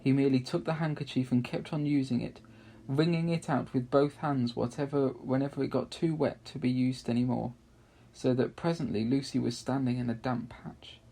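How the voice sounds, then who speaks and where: A man's voice: mid-range voice, steady tone, normal speed, gravelly, dark tone. A man narrating a children's storybook expressively. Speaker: story reader.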